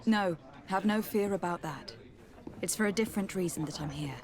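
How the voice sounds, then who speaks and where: An actress playing a composed young noblewoman investigator in a fantasy game, questioning in a polite, measured, formal way. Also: mid-range voice, a little mellow, lightly acted. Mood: earnest. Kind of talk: video game dialogue acting.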